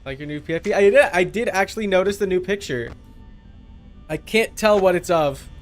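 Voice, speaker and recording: A man's voice: high-pitched, fairly quick, soft background music. A man streaming a game with casual, playful live commentary, chatting to viewers and to the game's characters.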